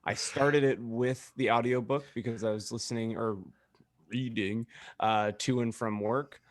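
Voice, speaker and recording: A man's voice: medium pitch, steady tone, unhurried, dry recording, clean studio sound. A man co-hosting a casual podcast, talking in a wry, teasing, joking way. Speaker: podcast co-host. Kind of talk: casual group banter.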